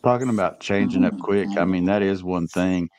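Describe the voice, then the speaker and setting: A man's voice: low-pitched, normal speed, a little mellow. A man hosting a fishing podcast, asking friendly questions and explaining tournament details. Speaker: podcast host. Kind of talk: casual podcast interview.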